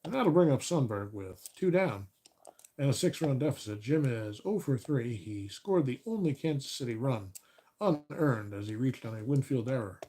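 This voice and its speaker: A man doing play-by-play of a tabletop baseball replay as host, relaxed and correcting himself as he counts stats aloud, his voice getting a little raspy. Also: medium pitch, normal speed. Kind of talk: play-by-play commentary of a board game replay.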